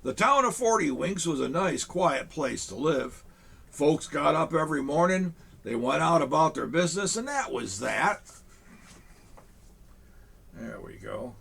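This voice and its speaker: A man reads a children's picture book aloud to kids from a small studio set, talking with them between pages. His voice is gravelly and husky, sitting a bit high for a man, with a lively, swooping delivery. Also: measured pace. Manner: warm, chatty grandfatherly asides to children. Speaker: storyteller in a Santa persona.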